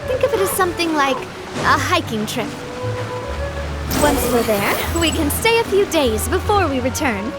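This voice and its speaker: Cheerful young lady boss, high lively voice, gentle and hospitable, faint game music behind. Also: unhurried. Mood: relaxed. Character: young noblewoman boss, warm and gracious. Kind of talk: video game voice acting.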